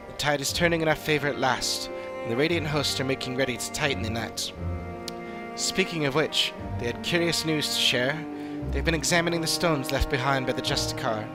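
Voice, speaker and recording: A man acts out an earnest investigator ally in a fantasy video game. The delivery is measured, formal and articulate at a middle pitch, over clear music. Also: lightly acted. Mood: thoughtful, hopeful. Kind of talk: scripted video game dialogue.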